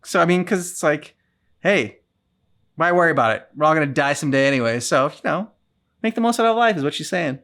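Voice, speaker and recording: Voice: mid-range voice, expressive, fairly quick, a bit bright. A reaction channel co-host casually discussing a video game in a loose, wry, meandering way.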